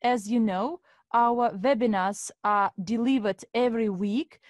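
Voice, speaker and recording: A woman's voice: fairly high pitch, a little slow, no echo. Woman hosting a webinar. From Estonia. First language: Russian. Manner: formal, addresses audience as dear friends. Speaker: webinar host. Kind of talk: live online webinar presentation.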